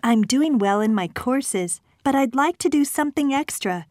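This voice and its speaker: A woman voicing students, a professor and an office clerk in scripted exam dialogues, with a clear, polite delivery. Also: high voice, expressive, moderate pace, darkish tone, dry recording, clean studio sound.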